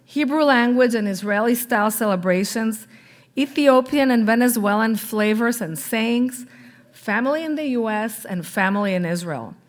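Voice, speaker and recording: A middle-aged woman giving a deliberate, proud prepared speech at a gala. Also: on the high side. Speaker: parent and school supporter giving a gala speech.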